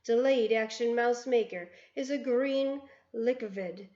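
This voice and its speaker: A woman voicing a ruthless chief witch who speaks in clipped, broken grammar and gloats loudly. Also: fairly high pitch, slow pace. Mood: gloating. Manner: gloating, triumphant shouting. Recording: roomy, dull lo-fi sound.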